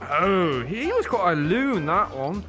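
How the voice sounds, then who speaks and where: A woman's voice: lowish voice, big pitch swings, slow pace, fairly bright tone, faint music behind, heavily acted. A woman playing a cheerful, dotty London Cockney police officer in a comic audio drama, folksy and matter-of-fact. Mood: chatty.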